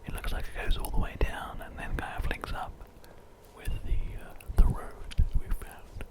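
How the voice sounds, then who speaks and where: British male ASMR host for game commentary; constant breathy whisper, gentle and unhurried. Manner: soft, gentle, unhurried rambling.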